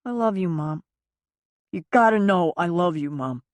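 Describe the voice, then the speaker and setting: A woman's voice: fairly low pitch, expressive, moderate pace, darkish tone, no echo, natural voice. A woman voicing an ashamed young adult son who pleads with his mother, his voice choked with tears. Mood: tearful.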